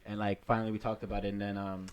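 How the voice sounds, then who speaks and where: Young man, quick rambling chatter with fillers, drops Spanish phrases, podcast co-host. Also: lowish voice, flat delivery. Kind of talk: casual podcast chat.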